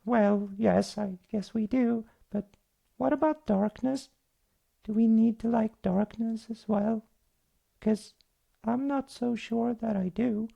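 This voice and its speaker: A woman's voice: fairly high pitch, fairly even intonation, slow, gravelly, warm tone, slightly rough, lo-fi sound, full character voice. A woman voicing a small, timid, curious young troll boy in a bedtime story, asking worried questions hesitantly. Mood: doubtful, worried.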